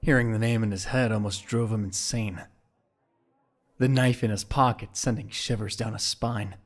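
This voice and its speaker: A man's voice: on the low side, fairly even intonation, moderate pace. A man giving scripted, dramatic story narration for an audio drama.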